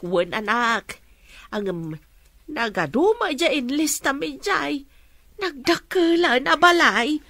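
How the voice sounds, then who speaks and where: A woman's voice: high-pitched, lively intonation, takes it slowly, a bit bright, no room sound, clean studio sound, exaggerated voice. A woman playing an affectionate, fretful elderly mother in a radio drama, speaking with a Filipino Ilocano accent. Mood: doting, hesitant.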